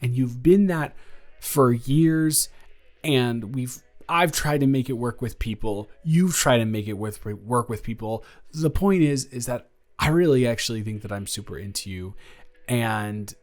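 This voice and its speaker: A man playing a nervous, earnest male best friend confessing a crush in a halting, rambling, improvised audio roleplay. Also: mid-range voice, a little mellow, close to an everyday voice. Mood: earnest, shy. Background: music.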